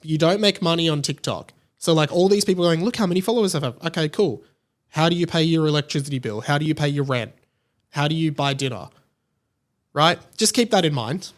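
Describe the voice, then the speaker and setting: Male podcast host, slightly high-pitched, conversational and rambling monologue style. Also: lively intonation, fairly quick. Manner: rambling, conversational, often tags points with "right?". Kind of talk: casual solo podcast monologue.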